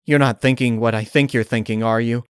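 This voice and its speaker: A man voicing a blunt, uneasy female pegasus pony in a dark storybook reading, plain-spoken and questioning. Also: medium pitch, steady tone, fairly quick, smooth, no room sound, clean studio sound, close to an everyday voice. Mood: startled, wary.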